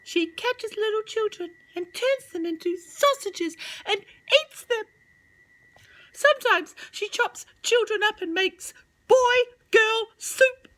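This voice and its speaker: A woman's voice: slow, a bit bright, heavily acted, poor audio. A woman voicing a tiny, anxious robin giving urgent warnings in a children's storybook. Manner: high, urgent, emphatic warning.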